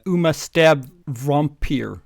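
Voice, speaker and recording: A man's voice: on the high side, slow, gravelly, dry recording, clean studio sound, full character voice. A man voicing a sneering, dim-witted villainous wizard professor in a comic read-aloud, declaiming with exaggerated menace and babyish mispronunciations. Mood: menacing.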